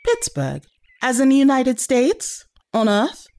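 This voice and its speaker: A woman's voice: high voice, wildly swooping pitch, slow, dark tone, heavily acted. A woman playing a wry, practical young companion in an audio drama, questioning and teasing. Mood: wry, surprised.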